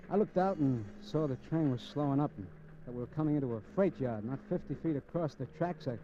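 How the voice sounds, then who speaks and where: Actor as a cynical hard-boiled crook, muffled old radio. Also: male voice, mid-range voice, a little hiss or hum, natural voice. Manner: hard-boiled, slangy, sardonic. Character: cocky, cynical, scheming male crook narrating his crime. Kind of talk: 1950s radio crime drama acting.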